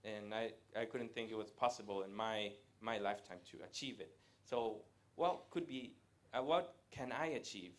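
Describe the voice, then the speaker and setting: A man, giving a live presentation to an audience in a conversational way, pausing often to hesitate. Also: fairly low pitch. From Latin America. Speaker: guest speaker. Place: event room with a live audience.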